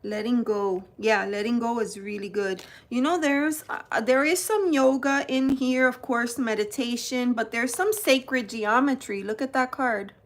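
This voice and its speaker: A woman from New Jersey hosts a live stream, speaking slowly and unhurriedly as she shows cards. Her voice sits a little high, and she keeps greeting viewers by name in a chatty way. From New Jersey, United States. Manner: slow, unhurried delivery. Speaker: tarot channel host. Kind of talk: live-stream unboxing chat.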